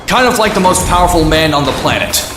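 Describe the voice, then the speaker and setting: A man's voice: a little high, lively intonation, fast, background noise, natural voice. Man playing a sardonic young vigilante, roomy sound. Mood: wry tension. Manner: sardonic, dry, self-narrating. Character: wisecracking young male vigilante antihero, cynical and brash. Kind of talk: animated comic narration and dialogue.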